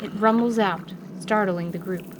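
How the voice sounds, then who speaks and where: A woman narrating a scripted fantasy tale in a measured, literary radio theatre style. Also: medium pitch. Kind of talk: scripted descriptive story narration. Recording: faint background noise.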